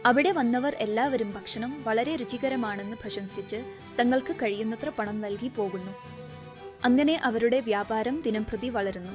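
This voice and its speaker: High-pitched woman storyteller. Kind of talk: scripted narration of a cartoon moral story.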